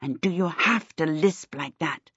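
Lady doing a cross storybook wife, husky, fierce n sarcastic, telling someone off. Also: low voice, a little slow, a bit bright, no echo, dull audio, exaggerated voice. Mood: fierce, scolding. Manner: fierce, passionate, cutting. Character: fierce, exasperated married woman, scolding and sarcastic.

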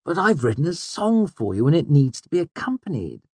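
A man's voice: fairly high pitch, very animated, a little fast, mellow, slightly rough, no room sound, voice pushed up, exaggerated voice. A man voicing a smug young male rival in a storybook, with a sneering, affected, theatrical sing-song manner. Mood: mocking nonchalance.

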